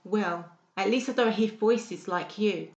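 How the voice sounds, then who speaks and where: A woman's voice: mid-range voice, a little slow, darkish tone, close to an everyday voice. Woman acting a hostile, taunting woman, roomy sound. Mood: angry, hostile. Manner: confrontational, taunting. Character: aggressive, foul-mouthed, antagonistic young female patient. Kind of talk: dramatised audiobook dialogue. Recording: dull, roomy recording.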